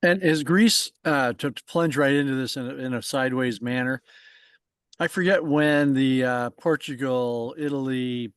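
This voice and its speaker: A man's voice: mid-range voice, normal speed, clear, resonant tone, no echo, clean studio sound. A man co-hosting an interview show who rambles and halts, repeating words as he restarts his sentences. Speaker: co-host. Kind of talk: casual interview chat.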